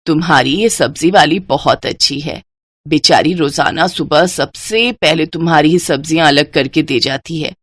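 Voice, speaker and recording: A woman's voice: low voice, normal speed, pitched below the performer's own voice. A woman voicing a caring older mother in a moral story, with a homely, sympathetic manner. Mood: approving. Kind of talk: Hindi moral story character dialogue.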